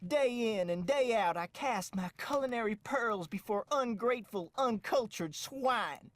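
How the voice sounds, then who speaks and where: A woman's voice: on the high side, expressive, a little slow. A woman playing a huffy, self-important ranch cook in a video game, speaking in a theatrical, indignant and pompous way.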